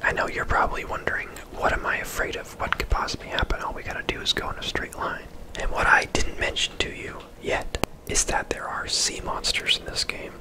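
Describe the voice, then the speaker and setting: An ASMR video host whispering casual, rambling gameplay commentary in a breathy, unvoiced voice. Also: low-quality recording. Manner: soft-spoken, rambling, casual.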